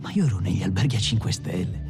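A man's voice: low-pitched, expressive, quick pace. An actor playing a boastful Italian bank robber who recalls his glory days in colloquial, emphatic monologue. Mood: boastful, wistful. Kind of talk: dramatic monologue, confessional first-person storytelling. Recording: loud music under the voice.

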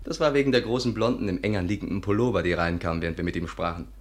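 Fast, low-voiced sardonic companion. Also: male voice. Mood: teasing. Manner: sardonic, quick-witted. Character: dry, witty, teasing male companion and suitor. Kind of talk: 1950s German radio drama acting.

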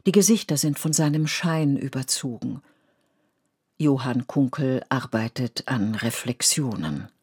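Mid-pitched literary radio narrator. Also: darkish tone, slightly rough. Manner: measured, poetic storytelling.

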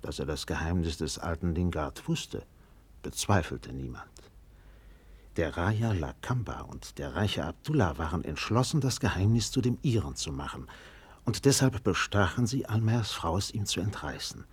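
Narrator for literary radio drama: man, low register, slightly rough tone, scripted storytelling. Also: animated, normal speed.

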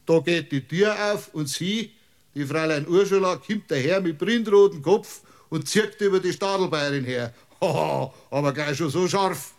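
An actor in a radio play playing an evasive, folksy middle-aged village mayor on the witness stand, with a Bavarian accent and a long-winded, stubbornly literal way of talking. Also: male voice, a little high, normal speed, natural voice. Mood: rambling storytelling.

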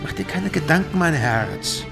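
Swaggering pirate captain, wildly animated. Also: male voice, on the high side, moderate pace, creaky. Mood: relishing, carefree. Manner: commanding, theatrical. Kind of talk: German radio drama acting. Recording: studio radio drama with loud music.

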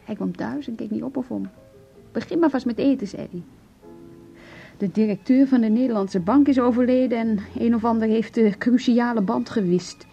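Hurt wife in her forties, radio drama, high creaky voice, dull old recording w music. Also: normal speed, warm tone. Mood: bitter, anguished. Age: 40s. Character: weary, hurt wife past forty confiding marriage troubles. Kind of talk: Dutch radio play acting.

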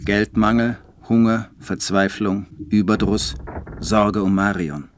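Low-voiced weary writer narrating. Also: male voice, steady tone, slow pace. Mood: bleak. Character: weary male writer reading his bleak diary. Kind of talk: literary first-person radio drama narration. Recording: faint background noise.

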